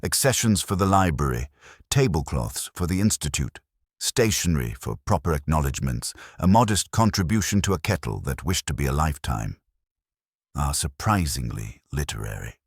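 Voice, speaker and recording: A man playing a mild, shrewd police inspector in an audio drama, quiet and dryly probing. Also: extremely low pitch, animated, normal speed, slightly rough, no room sound, clean studio sound, full character voice.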